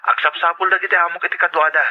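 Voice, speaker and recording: An actor playing a hardworking, anxious and affectionate young husband in an audio drama, speaking earnestly. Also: male voice, fairly high pitch, little pitch movement, very fast, narrow, tinny audio, natural voice. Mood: affectionate, concerned. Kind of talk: Ilocano audio drama dialogue.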